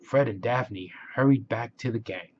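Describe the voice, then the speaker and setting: A man's voice: mid-range voice, normal speed, a little mellow, highs cut off, slight background noise. A man narrating a children's storybook aloud.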